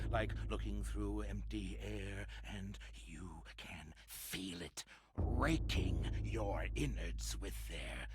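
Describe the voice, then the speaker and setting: A man's voice: lowish voice, noisy background. An actor playing a deranged, terrified man raving theatrically about monsters in a gothic horror game, swinging between whispers and shrieks.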